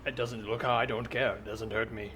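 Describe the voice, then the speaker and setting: A man's voice: medium pitch, level tone, normal speed, sharp tone, slight background noise, close to an everyday voice. A man playing a stubborn, matter-of-fact fellow who claims to be a robot from the future, in improvised audio comedy. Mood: stoic. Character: stoic self-proclaimed robot man from the future.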